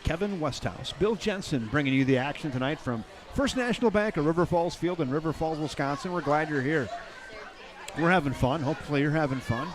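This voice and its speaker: An older man doing play-by-play for a baseball game, chatty and folksy, with light jokes between pitches. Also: lively intonation, a little fast. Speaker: play-by-play announcer. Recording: crowd noise in the background.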